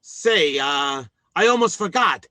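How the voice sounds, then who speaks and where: A man's voice: a little high, animated, takes it slowly, pushed and bright, dry recording, faint music behind, exaggerated voice. An actor playing a blustering, eager family man in an old-time radio comedy, with a flustered, bumbling way of talking. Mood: excited, bossy.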